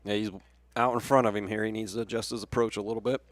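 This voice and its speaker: A man's voice: fairly low pitch, little pitch movement, fast talker. An American man giving conversational color commentary on a baseball game, with plainspoken, coach-like explanations.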